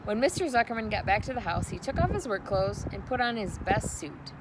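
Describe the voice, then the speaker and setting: A woman reads a children's story aloud as narrator, at a middle pitch. There is clear noise in the recording. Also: big pitch swings, brisk.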